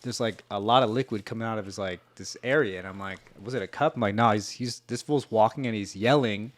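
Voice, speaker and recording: A man's voice: lowish voice, brisk. A man in his thirties, a podcast guest, telling a story in a laid-back, rambling way full of "like.". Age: 30s. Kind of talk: casual storytelling.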